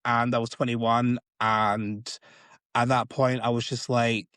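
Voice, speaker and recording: A man's voice: mid-range voice, little pitch movement, slow pace, fairly bright tone, smooth, no echo, clean studio sound. A man co-hosting a podcast in a chatty, rambling way, filling his sentences with "like". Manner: chatty, rambling with many likes. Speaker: podcast co-host. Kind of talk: casual chat.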